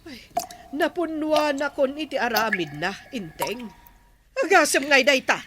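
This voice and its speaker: A woman's voice: sits high, animated, a little slow, noisy background. A woman playing an irate village woman in an Ilocano comedy drama, scolding and fed up with a gossip. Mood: exasperated.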